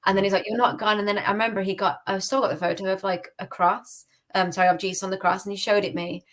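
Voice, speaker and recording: A woman's voice: mid-range voice, steady tone, quick pace. A woman from the UK with a British accent, telling a personal story casually as a podcast guest. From United Kingdom. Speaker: podcast guest giving testimony.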